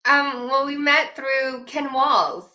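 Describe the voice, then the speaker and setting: A woman's voice: sits high, fairly even intonation, slow pace, pushed and bright. A woman in her thirties from Washington, a guest chatting casually from home, laughing easily and restarting sentences. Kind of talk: casual interview talk.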